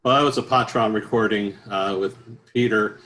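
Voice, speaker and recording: Male guest, low speaking voice, casual and halting, plenty of restarts and filler sounds. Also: fairly even intonation, fairly bright tone. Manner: easygoing, many restarts and "uh"s, chuckles at his own stories. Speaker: choral bass singer, guest. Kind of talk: relaxed interview answers.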